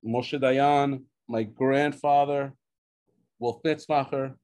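A man whose first language is Hebrew tells a personal story as an invited speaker. His speech is halting, full of fillers and restarts. It comes through a video-call connection. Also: medium pitch, steady tone, darkish tone. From United States. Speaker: author and guest speaker.

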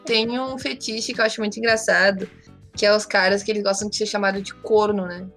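A woman's voice: medium pitch, fairly even intonation, fairly quick, a bit bright, soft background music. A woman with a southern Brazilian accent, chatting casually as a guest and telling candid, slangy anecdotes. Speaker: interview guest.

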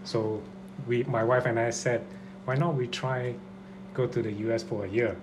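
Halting fifty-something man, noisy recording. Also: mid-range voice, fairly even intonation. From Singapore. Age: 50s. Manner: halting, with frequent "uh" fillers. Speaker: podcast guest. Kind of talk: casual interview answers.

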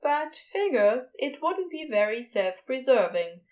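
A woman with a fairly high voice reads fiction aloud. She is the narrator, delivering scripted storytelling prose. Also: very animated, a little slow, darkish tone.

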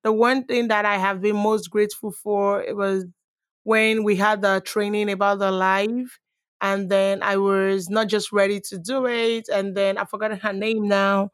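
A woman guest speaking in a lively, talkative way in an interview. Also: medium pitch, clean tone, dry recording, studio quality. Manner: lively, talkative, quick bursts. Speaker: guest, online seller. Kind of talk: casual interview answers.

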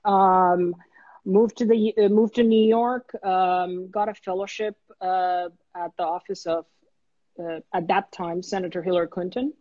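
A man in his forties talks through his career as a guest, earnest and steady, often restarting small phrases. His voice sits fairly high for a man. The audio is muffled and lo-fi, with a completely dry, close sound. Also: measured pace, dry recording. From Bangladesh. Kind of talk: interview, telling his career story.

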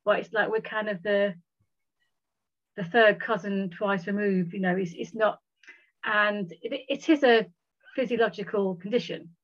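A woman who stammers, talking haltingly in a casual conversation with repeated words. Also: mid-range voice. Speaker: guest sharing experience. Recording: dull lo-fi recording.

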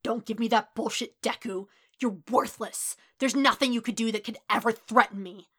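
A woman voicing a furious, foul-mouthed teenage boy bully in a dramatic story reading, shouting and snarling with venom. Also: a little high, moderate pace, husky. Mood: venomous contempt. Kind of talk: dramatic fan fiction reading.

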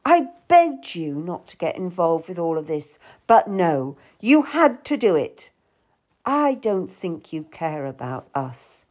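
Woman doing an angry cold guy in an audiobook, stern n accusing, swingy pitch, takes it slow. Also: very animated, a little mellow, heavily acted. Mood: angry, rejecting. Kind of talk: dramatised audiobook dialogue.

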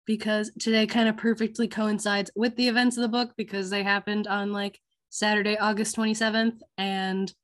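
A teenage girl hosts a book podcast in a loose, chatty way, with plenty of ums and likes. Her voice is slightly hoarse from a cold. She talks at an ordinary pitch. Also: a bit bright. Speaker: podcast host. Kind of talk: casual book chat.

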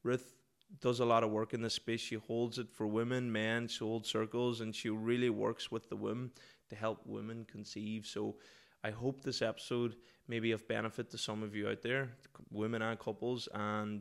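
A man's voice: on the low side, little pitch movement, moderate pace, creaky. A man hosting a podcast, interviewing conversationally and circling his way hesitantly into his questions. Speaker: podcast host.

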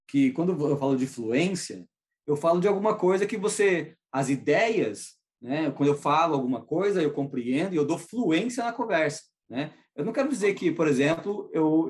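A man's voice: fairly high pitch, darkish tone. A Brazilian man with a Brazilian Portuguese accent, a teacher chatting as an interview guest, rambling with many restarts and tag questions. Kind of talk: casual conversational interview.

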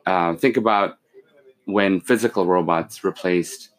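CEO guest, forties, very low voice, steady and measured, filler-heavy. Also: male voice, low-quality recording. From born in Pakistan, raised in London and Kuwait. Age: 40s. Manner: measured, with many fillers and restarts. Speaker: company CEO, podcast guest. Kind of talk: casual interview, explaining business.

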